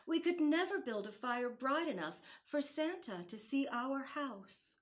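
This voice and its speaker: A woman's voice: high-pitched, expressive, unhurried, pitched above the performer's own voice. A woman voicing a practical, outspoken young girl in a storybook read-aloud. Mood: matter-of-fact.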